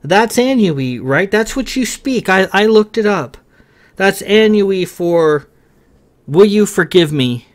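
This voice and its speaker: A man's voice: high-pitched, lively intonation, slow pace, voice pushed up. A man voicing an earnest, stumbling young suitor. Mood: pleading. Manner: urgent, pleading, stumbling apologies.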